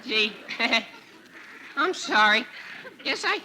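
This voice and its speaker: A man's voice: very high voice, animated, pushed and bright, exaggerated voice. An actor playing a dim, innocent, goofy teenage boy in a 1940s radio comedy. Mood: oblivious. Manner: slow-witted, innocent, eager. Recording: faintly noisy old radio recording.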